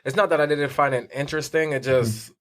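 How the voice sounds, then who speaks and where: Relaxed man in his thirties from Puerto Rico. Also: mid-range voice, quick pace. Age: 30s. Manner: relaxed, hesitant with fillers. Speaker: podcast guest. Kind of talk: casual interview answers.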